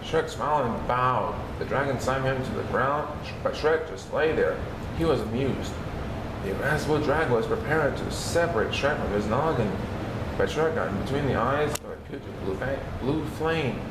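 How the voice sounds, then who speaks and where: A man's voice: mid-range voice, normal speed. A man reading a children's story aloud as narrator. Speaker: storybook reader. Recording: roomy sound, steady background hum.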